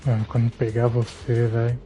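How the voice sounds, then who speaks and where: A Brazilian man chats casually while streaming a game. His voice is dark and mellow, with faint music behind it. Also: medium pitch, monotone, a little fast, poor audio. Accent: Brazilian Portuguese. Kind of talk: casual live gameplay commentary.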